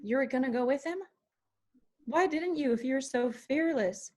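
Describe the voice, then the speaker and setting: A woman's voice: high-pitched, moderate pace, a little mellow. A woman playing a hurt, confrontational young daughter in a dramatic screenplay table read.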